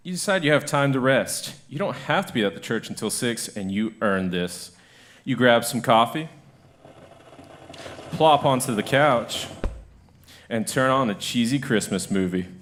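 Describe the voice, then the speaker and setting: A man's voice: mid-range voice, expressive, unhurried, long pauses, a bit bright, a little hiss or hum. A man narrating a comic radio drama in the second person, playful and wry.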